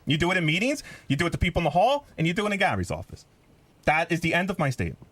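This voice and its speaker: Loud nasal man arguing fast. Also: fairly high pitch, huge pitch swings, crowd noise. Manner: loud, combative, needling. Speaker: radio show staffer. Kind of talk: heated office argument.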